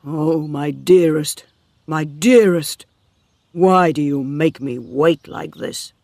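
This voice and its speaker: A man voicing a middle-aged farmer, lovesick and insistent, who talks in slow, earnest pleas. The voice sits fairly high for a man and comes slowly. The recording is dry and close. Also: gravelly, a little mellow, no echo. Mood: longing. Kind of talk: audiobook dialogue reading.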